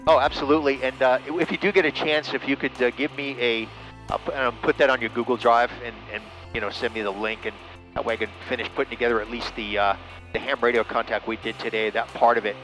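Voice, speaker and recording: Enthusiastic ham radio pilot, casual. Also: male voice, mid-range voice, quick pace, faint music behind, poor audio. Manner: relaxed, enthusiastic, full of fillers, ends turns with "over". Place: small plane cockpit, then home radio room.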